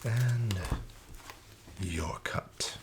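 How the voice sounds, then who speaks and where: A man in a soft-spoken ASMR roleplay as a casino dealer, half-whispering smooth, flattering patter. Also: lowish voice, very slow, very noisy.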